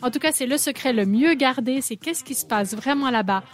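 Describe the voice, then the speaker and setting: A woman's voice: sits high, fairly quick, faint music behind. A woman co-hosting a radio show, bantering playfully and teasing her colleague. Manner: teasing, laughs often.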